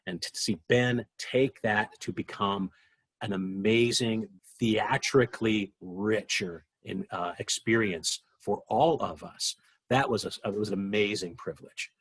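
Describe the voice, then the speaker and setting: Man, low husky voice, dry close recording, reflective interview, earnest, halting phrasing. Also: a bit bright, no room sound. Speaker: interviewee, radio drama executive producer.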